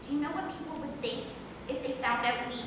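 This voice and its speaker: A woman's voice: high-pitched, quick pace, natural voice. A woman playing a bossy, melodramatic teenage girl in an amateur stage play, with a theatrical, insistent delivery. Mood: reproachful, dramatic. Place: stage performance in a large hall. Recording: echoey hall, distant mic, faint noise.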